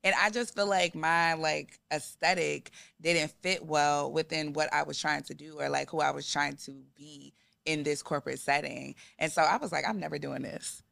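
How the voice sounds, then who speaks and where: Very creaky, bright American woman host. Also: deep voice, steady tone, normal speed, no room sound. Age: 30s. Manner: chatty, frequent "like" asides. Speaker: podcast host. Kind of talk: casual interview conversation.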